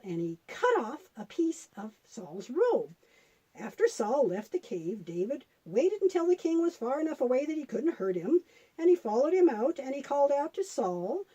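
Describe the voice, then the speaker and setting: A patient granny puppet, played by a woman, tells a children's Bible story in a gently instructive way. The voice sits high, with lively melody and a soft, mellow tone. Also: slightly rough, full character voice, poor audio. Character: patient grandmother puppet telling Bible stories.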